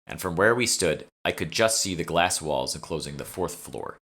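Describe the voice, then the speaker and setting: A man's voice: very deep voice, animated, moderate pace, fairly bright tone. A man reading scripted first-person fiction as an author narrating his own audiobook.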